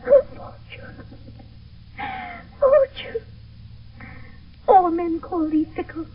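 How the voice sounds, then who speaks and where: A woman's voice: extremely high pitch, expressive, drawn-out words, exaggerated voice. An actress playing an impassioned, grieving young noblewoman in love, in classical Shakespearean radio drama. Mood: anguished. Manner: impassioned, declamatory verse delivery. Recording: tinny, narrow-band old radio broadcast.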